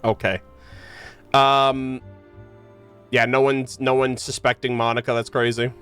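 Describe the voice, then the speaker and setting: A man streaming a game, giving slangy casual commentary and thinking out loud. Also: mid-range voice, level tone, measured pace, clean tone. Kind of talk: casual playthrough commentary, reading game text aloud. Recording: game music behind voice. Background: game music.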